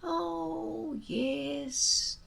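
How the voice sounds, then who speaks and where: Lady doing a wise old viking grandpa for a kids book, gruff but patient, slow and creaky. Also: very high voice, steady tone, a bit bright, pitched above the performer's own voice, heavily acted. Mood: thoughtful. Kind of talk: children's storybook character voice.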